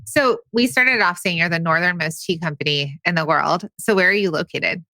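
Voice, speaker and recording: A woman's voice: lowish voice, normal speed, pushed and bright, no room sound. A woman co-hosting a business podcast, joking playfully while leading the questions. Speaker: podcast co-host. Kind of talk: casual interview chat.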